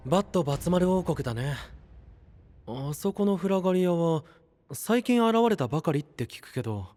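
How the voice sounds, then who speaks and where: A gentle young knight in an anime audio drama. The voice is mellow, fairly high and lilting, friendly and casual, with long reverb around it. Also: male voice, sing-song, normal speed, soft background music. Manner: light, friendly, casual phrasing.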